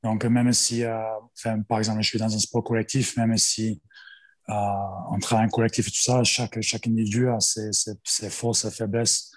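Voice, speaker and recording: A man's voice: on the low side, flat delivery, moderate pace. A man in his forties with an Australian accent, a strength coach who speaks French hesitantly as a podcast guest, with many fillers and restarts. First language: English. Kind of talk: interview guest.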